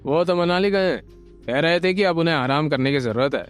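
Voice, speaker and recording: A man's voice: a little high, brisk, faint music behind, natural voice. A man voicing an arrogant young heir of a rich family in an audio drama, smug and taunting.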